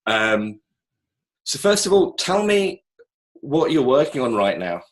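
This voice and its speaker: A man's voice: medium pitch, sing-song, slow pace. A man hosting a casual interview over a call, asking loose questions with chatty asides. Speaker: interviewer, host. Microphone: call recording.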